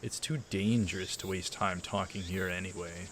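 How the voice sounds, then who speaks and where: Man playing a young male secret agent, deep voice, conspiratorial, grandiose, visual novel, noisy recording. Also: steady tone, normal speed, fairly bright tone.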